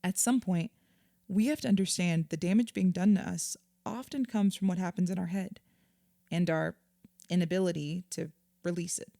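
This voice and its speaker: A woman acting a patient, earnest therapist in an audio drama, measured and reassuring as she explains. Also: on the low side, creaky, warm tone, no echo, clean studio sound. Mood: earnest, explanatory. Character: patient, earnest female therapist counselling a client.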